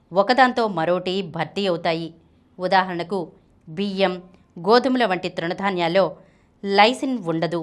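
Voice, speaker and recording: A woman presents a health explainer, reading scripted narration aloud. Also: mid-range voice. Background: music.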